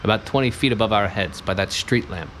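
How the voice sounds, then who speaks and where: An actor playing a wisecracking, hard-boiled 1940s detective with clipped, gruff, deadpan delivery. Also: male voice, on the low side, fast talker, roomy sound, slight background noise, lightly acted. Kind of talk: 1940s-style radio play acting.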